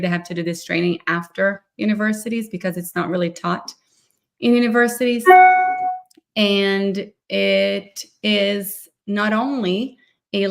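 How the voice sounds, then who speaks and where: A woman hosting a live podcast interview, explaining her field fluently and upbeat. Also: mid-range voice. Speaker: podcast host, myofunctional therapist.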